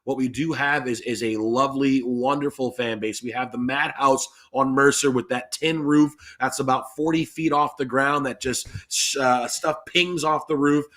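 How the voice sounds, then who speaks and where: A man's voice: medium pitch, fairly even intonation, normal speed, few pauses, a bit bright. Emphatic man talking sports. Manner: emphatic, playful salesman-like delivery. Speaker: guest, radio play-by-play announcer. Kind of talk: animated sports podcast talk.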